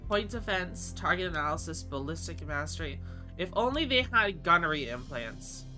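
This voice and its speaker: A man's voice: fairly high pitch, expressive, normal speed, music in the background. A man with a stuffy, congested nasal voice, rambling casually as he plays a game on stream and answers chat. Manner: chatty, rambling, thinks aloud and answers chat. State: just woke up. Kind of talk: casual live game commentary.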